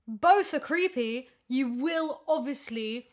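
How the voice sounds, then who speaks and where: A woman in a casual discussion, explaining her opinions in an animated way. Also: high voice, slow pace. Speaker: podcast guest, audio drama voice actor.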